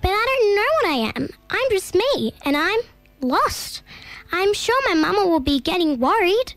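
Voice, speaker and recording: A woman's voice: extremely high pitch, very animated, normal speed, a bit bright, no room sound, faint music behind, pitched above the performer's own voice, heavily acted. A woman voicing a tiny, timid, lost young furry creature in a children's story, speaking tentatively. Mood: timid, plaintive. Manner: tentative, hesitant.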